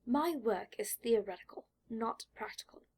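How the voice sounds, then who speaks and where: A woman voicing a precocious, indignant young boy with a pedantic manner that turns shrill when annoyed, in an audiobook story. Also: lively intonation, moderate pace, a little mellow, husky. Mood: haughty.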